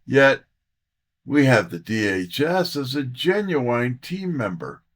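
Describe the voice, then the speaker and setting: Man playing a street-smart, animated detective's sidekick. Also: medium pitch, takes it slowly. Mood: skeptical. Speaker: detective's assistant and narrator. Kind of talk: first-person hard-boiled audio drama narration.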